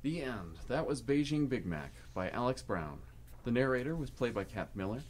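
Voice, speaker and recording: Male announcer, middle pitch, lively intonation, credit reading, faint background noise. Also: normal speed. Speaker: radio theatre announcer.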